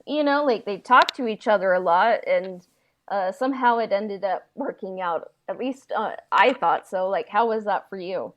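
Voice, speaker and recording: A woman's voice: mid-range voice, moderate pace. A woman co-hosting a podcast in casual chat, speaking very informally and peppering her talk with "like". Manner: informal, frequent "like". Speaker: podcast co-host.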